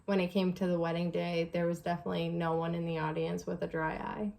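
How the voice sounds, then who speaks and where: A young woman shares a story as a vlog co-host. Her voice sits on the low side and stays very even in pitch. She talks casually, in a relaxed, conversational manner. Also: fast talker, vocal fry.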